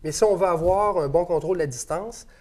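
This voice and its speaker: Fast-talking Québécois golf coach. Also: male voice, high-pitched, darkish tone. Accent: Québécois French. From Saguenay, Quebec. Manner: quick, coaching with frequent "ok?" check-ins. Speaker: golf instructor. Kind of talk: instructional demonstration.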